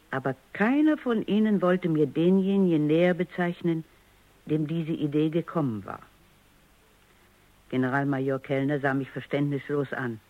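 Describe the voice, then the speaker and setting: A woman's voice: sits low, lightly acted. Radio drama lead, played by a woman: female scientist, probing, exact, authoritative, on a dull lo-fi recording. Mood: grave, narrating. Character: female robot scientist, stern, analytical, controlled. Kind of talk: radio drama narration and dialogue.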